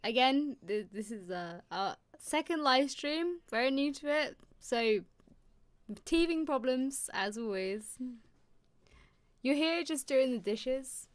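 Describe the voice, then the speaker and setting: A woman co-hosting a live stream with a hoarse voice, chatting casually and greeting viewers. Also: high voice, expressive, slow pace. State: hoarse, losing her voice.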